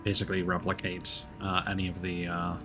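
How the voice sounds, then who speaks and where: A man's voice: low-pitched, completely flat delivery, a little fast, music in the background. A man doing casual live game-stream commentary, thinking aloud in a rambling way.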